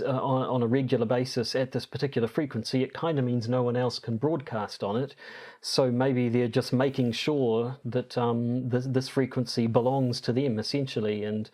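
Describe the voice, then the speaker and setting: A man with a mid-range voice talks as a podcast co-host. He explains material from his notes casually and hesitantly, often halting to hunt for the right word. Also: mid-range voice, a little mellow. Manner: hesitant, halting, searching for words.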